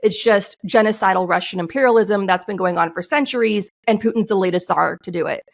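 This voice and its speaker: A woman's voice: medium pitch, brisk, gravelly. A woman guest on a podcast, telling a story in a passionate, outspoken way. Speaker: guest, screenwriter. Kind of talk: interview answers.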